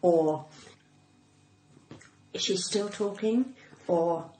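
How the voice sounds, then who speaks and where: A woman's voice: fairly low pitch, extremely slow, warm tone. A woman with a cut-glass English accent, chatting casually as a comedian guest with dry, wry humour. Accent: English, cut-glass received pronunciation. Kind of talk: casual interview chat. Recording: echoey room, faint background noise.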